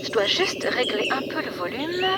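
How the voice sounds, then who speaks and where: Woman inventor actress, audio drama: bubbly, distracted, slightly high voice with noticeable background noise. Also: sing-song, moderate pace. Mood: pleased, excited. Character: chirpy, absorbed woman inventor, tinkering with tech.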